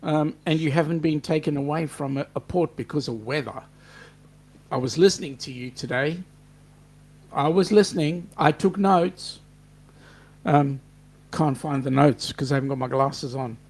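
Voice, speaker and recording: An upbeat Australian man hosting a relaxed online chat and reading out viewer comments. His voice sits a little high for a man, and he keeps a friendly, chatty flow. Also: normal speed. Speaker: host.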